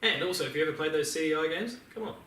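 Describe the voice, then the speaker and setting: A man's voice: a little high, expressive, very fast, pushed and bright. A man co-hosting a podcast, talking casually and bluntly with a joking, informal manner. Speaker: podcast co-host. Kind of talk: casual chat about video games and films. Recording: echoey room, distant mic, faint noise.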